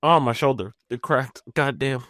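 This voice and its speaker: A man's voice: medium pitch, moderate pace, pushed and bright, dry recording, clean studio sound. A man co-hosting a podcast, chipping into a casual chat with short remarks. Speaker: podcast co-host.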